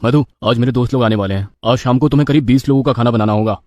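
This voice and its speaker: A man's voice: medium pitch, very fast, darkish tone, dry recording, close to an everyday voice. Dismissive husband, male actor, cartoon moral tale, bossy and curt, mid-range, dry studio-like sound. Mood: brusque. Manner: brusque, bossy. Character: dismissive, demanding husband who loves spicy food.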